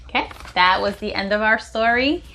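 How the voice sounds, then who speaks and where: A woman's voice: medium pitch, moderate pace, gravelly, heavy background noise. A woman casually hosting a children's story time as a mother introducing her young reader, speaking to young viewers. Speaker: host, mother introducing her daughter's read-aloud.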